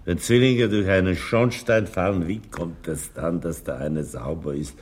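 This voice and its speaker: A wry, patient talmudic teacher in a radio play. The voice is very low and husky, and it teases gently with deliberate, sly phrasing. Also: male voice. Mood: didactic, sly. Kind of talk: theatrical radio play dialogue.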